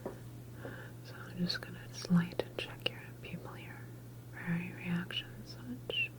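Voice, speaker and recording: A woman whispering softly in an ASMR medical roleplay as a calm, reassuring doctor, explaining each step politely in a breathy voice. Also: on the low side, poor audio. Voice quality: breathy, mostly unvoiced whisper. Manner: soft, unhurried, gently explanatory, polite. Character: calm, reassuring female doctor at a sci-fi government facility. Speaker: ASMR performer playing a medical examiner.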